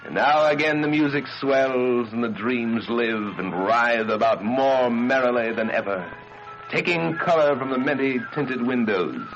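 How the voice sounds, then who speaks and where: A man narrating a gothic horror story in a dramatic old radio manner, swinging between hushed and declaiming delivery. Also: lowish voice. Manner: theatrical, swelling from hushed near-whisper to declaiming. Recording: dull, band-limited radio sound under loud music. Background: loud music.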